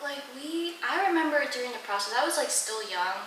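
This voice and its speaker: A young woman from the Philippines, a guest in a casual interview, speaking softly and hesitantly with frequent laughs. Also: high voice, animated, fairly quick, noisy background. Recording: echoey room, mic at a distance.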